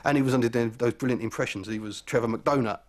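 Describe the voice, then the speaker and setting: A man speaking briskly and enthusiastically in an interview. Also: mid-range voice, very noisy. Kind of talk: interview commentary.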